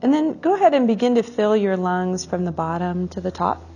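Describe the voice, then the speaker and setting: A woman leading a guided meditation for a class in a soothing, unhurried way, drawing out phrases and letting the endings trail off softly. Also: mid-range voice, lively intonation, highs cut off, soft background music.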